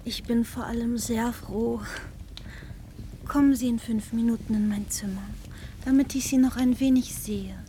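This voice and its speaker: Woman playing wistful young lover, high-pitched, plaintive, weary, radio drama, faint background noise. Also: steady tone, moderate pace, mellow, natural voice. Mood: relieved, weary.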